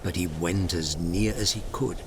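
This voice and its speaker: A man's voice: low-pitched, moderate pace, noisy background. A man narrating a scripted fairy tale as a storyteller.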